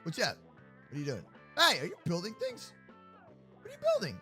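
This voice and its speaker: A man with a bright, high-set voice commentates an improvised game roleplay. His delivery swings widely in pitch and is full of casual slang. Music plays behind him. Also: brisk. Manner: animated, slangy, reacting aloud. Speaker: gaming video host.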